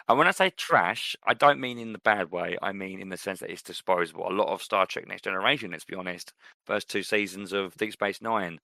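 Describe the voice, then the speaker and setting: A man's voice: low voice, expressive, fairly quick, gravelly, pushed and bright, no room sound. A man co-hosting a podcast, talking casually and opinionatedly, rambling with restarts. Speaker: podcast co-host. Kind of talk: casual chat.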